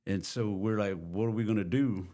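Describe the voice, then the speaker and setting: An older man from Texas, a guest musician, telling long rambling stories in a relaxed chat. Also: fairly low pitch, moderate pace. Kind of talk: casual interview storytelling.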